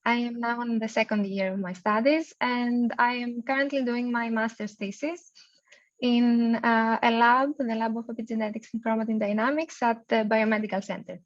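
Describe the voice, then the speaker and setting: Bright-voiced woman from Greece on a call. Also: on the high side, fairly even intonation, moderate pace. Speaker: master's student panelist. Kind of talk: casual self-introduction and answers. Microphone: video call. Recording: dull lo-fi call audio.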